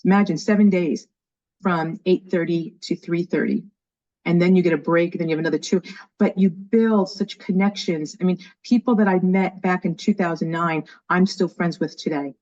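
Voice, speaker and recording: A woman's voice: fairly low pitch, fairly even intonation, normal speed, a little mellow. A woman in her fifties from New York with a light New York accent, chatting enthusiastically as an interview guest. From New York, USA. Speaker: guest, education leader. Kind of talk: casual interview. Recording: dull lo-fi call audio.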